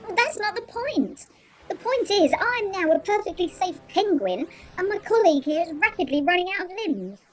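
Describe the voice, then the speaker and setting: An indignant penguin from a comic space radio play. The voice is tiny and extremely high, squawking up and down in bewildered protest. It rings with echo. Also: female voice, very animated, normal speed. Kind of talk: comic sci-fi audio drama acting.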